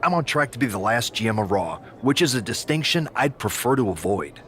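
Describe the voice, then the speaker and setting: A man's voice: medium pitch, very animated, a little fast, a bit bright, slight background noise. An actor playing a cautious, worried male general manager of a wrestling show in a video game cutscene.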